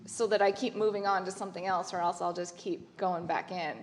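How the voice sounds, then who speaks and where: A woman's voice: on the low side, steady tone, fairly quick. A woman artist speaking on a panel in a loose, chatty way, full of restarts and asides. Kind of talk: casual panel discussion.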